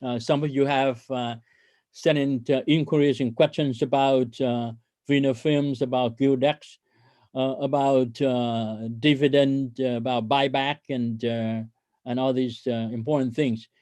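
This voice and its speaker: A man with a mid-pitched voice addresses investors as a company executive. His delivery is halting and hesitant, often stumbling as he goes. The sound is dry, with hardly any room echo. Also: fairly even intonation, darkish tone. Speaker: company CEO hosting an investor conference call. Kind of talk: corporate earnings call presentation.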